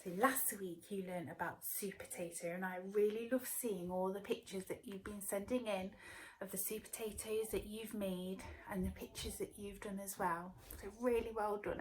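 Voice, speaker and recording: A woman teacher talking to young children and reading them a story aloud in an expressive way. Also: medium pitch, slight background noise, low-quality recording. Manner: expressive, addressing small children. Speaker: early years teacher.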